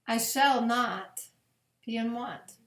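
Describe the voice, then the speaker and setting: A woman with a slightly high voice reads a bedtime story as a pastor would to children. She goes very slowly, phrase by phrase, leaving long pauses. The room around her adds a clear echo. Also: a bit bright. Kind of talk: gentle read-aloud of scripture picture books. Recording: echoey room.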